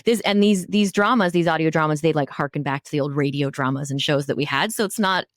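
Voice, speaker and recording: A woman with a slightly low voice hosts an interview podcast. She speaks in a casual, conversational style, and the recording is dry with very little room sound. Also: quick pace, no room sound.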